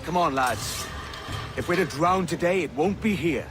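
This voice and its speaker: Male actor as young pirate captain, swaggering, ambitious, slightly high voice, game cinematic, loud music behind. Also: expressive, moderate pace, close to an everyday voice. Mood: determined. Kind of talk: video game cinematic acting.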